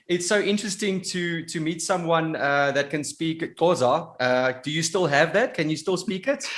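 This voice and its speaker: Upbeat guy hosting a podcast, gushes a lot, highish voice. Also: moderate pace, fairly bright tone. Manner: enthusiastic, effusive. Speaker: podcast host. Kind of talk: podcast interview.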